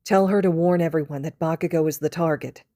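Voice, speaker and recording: A woman's voice: low voice, fairly even intonation, a little fast, warm tone, no echo, clean studio sound, lightly acted. A woman voicing a blunt, defiant teenage boy vigilante in an audio story, curt and dismissive.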